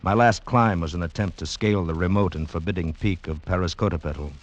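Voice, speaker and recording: An actor playing a haunted mining engineer and former climber in a formal, dramatic old radio drama style. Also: male voice, low-pitched, fairly quick, highs cut off, close to an everyday voice. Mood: grave, haunted. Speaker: narrator and character in radio drama. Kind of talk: 1940s radio acting, dramatic first-person narration. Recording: vintage radio recording with music.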